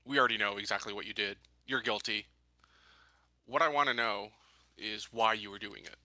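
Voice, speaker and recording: A man playing a tabletop game, talking casually and haltingly as he lays things out and seeks clarification. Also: fairly low pitch, sharp tone, dry recording. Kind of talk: casual game-table talk with in-character questioning.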